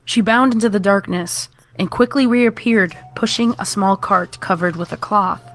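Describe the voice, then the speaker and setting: A woman's voice: medium pitch, moderate pace, soft background music. A woman reading scripted horror fiction as the narrator.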